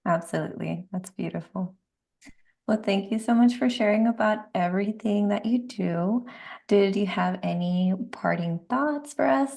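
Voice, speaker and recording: A woman's voice: mid-range voice, moderate pace, creaky, darkish tone. A woman podcast host, herself a dietitian, asking questions and affirming her guest in a casual interview style.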